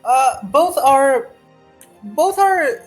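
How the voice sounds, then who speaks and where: A man's voice: extremely high pitch, expressive, drawn-out words, faint music behind. A man narrating a tabletop game as game master in a casual, improvised way, often searching for words.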